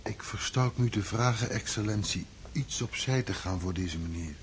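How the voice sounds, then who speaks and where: An actor playing an obsequious Russian postmaster in a radio drama, with a deferential, fawning manner. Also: male voice, lowish voice, moderate pace, a little hiss or hum, natural voice. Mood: servile.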